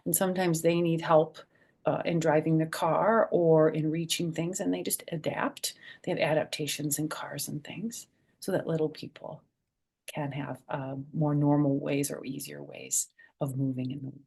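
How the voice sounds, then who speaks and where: Woman, lowish pitch, creaky fry, children's storytime reader, picture-book read-aloud, simple chatty explanations. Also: flat delivery, moderate pace, a little mellow.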